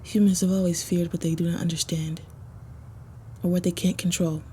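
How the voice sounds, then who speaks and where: Low, mellow vampire woman, acted by a woman. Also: flat delivery, moderate pace, a little hiss or hum, close to an everyday voice. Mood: brooding, calm. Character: confident female vampire, flirty, aloof, wandering loner. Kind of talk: first-person dramatic roleplay narration.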